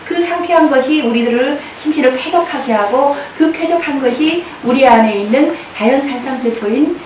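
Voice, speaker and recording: A woman's voice: high-pitched, normal speed, darkish tone. A woman giving an explanatory talk to a group, speaking as a forest guide would. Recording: very echoey, distant mic, faint noise.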